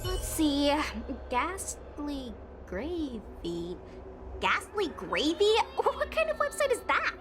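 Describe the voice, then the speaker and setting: A woman voicing a loyal, easily scared but well-meaning young girl in a video game, expressive and earnest. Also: high-pitched, slow pace, music in the background, full character voice. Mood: nervous, curious.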